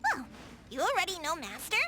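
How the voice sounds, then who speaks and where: A woman voicing an excitable, boastful young girl detective in an anime-style game, with an exuberant, declamatory delivery. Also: very high-pitched, fairly quick, background noise, full character voice. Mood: surprised, cheerful. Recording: music behind the voice.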